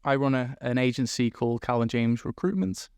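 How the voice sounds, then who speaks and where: A man from England with a mild Liverpool accent, talking as a guest about his business in a relaxed, rambling way. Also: mid-range voice, a little fast, smooth, no echo. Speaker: guest, recruitment business owner. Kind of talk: casual interview chat. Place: room in Dubai.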